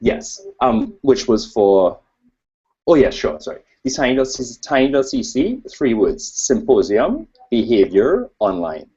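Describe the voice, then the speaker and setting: A man's voice: medium pitch, very animated, unhurried, dark tone, breathy edge. A man from Trinidad with a Trinidadian accent, giving an informal conference talk as a researcher in a digressive, self-deprecating way. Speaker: academic researcher presenting at a conference. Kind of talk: informal conference presentation with slides. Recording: dull, lo-fi webcast audio.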